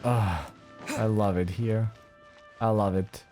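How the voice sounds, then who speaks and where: A man giving casual let's play commentary as a gaming streamer, with relaxed, wry asides. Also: lowish voice, slow pace. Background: game music.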